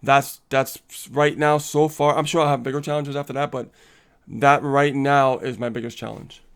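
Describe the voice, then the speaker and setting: A man's voice: medium pitch, fairly even intonation, normal speed, gravelly, clear, resonant tone. A man co-hosting a casual live coaching stream, upbeat and motivational, laughing often and reading viewer comments aloud.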